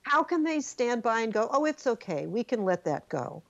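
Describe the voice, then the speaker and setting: A woman's voice: fairly high pitch, sing-song, normal speed, vocal fry, a bit bright, no room sound. An earnest woman talks politics as a guest, often circling back over her own words as she thinks. Her voice is set in a dry space, and the audio is a little dull and lo-fi. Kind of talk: casual political discussion.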